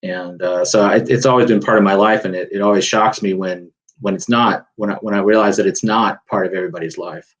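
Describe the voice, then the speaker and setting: A man's voice: on the low side, steady tone, a little fast, fairly bright tone, low-quality recording. A man speaking as a guest author on a panel, thoughtful and rambling with many restarts. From United States. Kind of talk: panel discussion.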